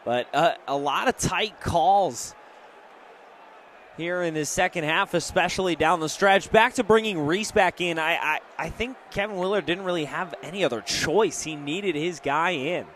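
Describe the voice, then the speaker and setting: A man's voice: a little high, moderate pace, a bit bright. An American man giving live basketball analysis as a color commentator. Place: courtside in a large indoor arena. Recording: faint arena noise.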